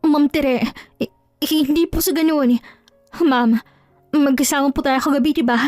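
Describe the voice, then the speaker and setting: Lady doing a pleading accused wife in an audio drama, high voice, melodramatic, music underneath. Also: steady tone, unhurried, a little mellow, no room sound. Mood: panicked pleading. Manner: pleading, urgent, insistent. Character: desperate, pleading young wife wrongly accused of cheating.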